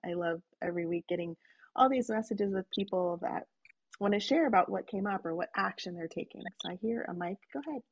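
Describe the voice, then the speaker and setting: A woman hosting an online session, guiding a slow breathing and reflection practice in a soft, inviting manner. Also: medium pitch, slightly rough, lo-fi sound. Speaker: host leading a guided breathing session. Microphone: online call.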